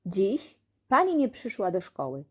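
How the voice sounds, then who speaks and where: A woman reading a children's storybook aloud as an expressive audiobook narrator. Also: medium pitch, a little slow, husky. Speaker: audiobook reader.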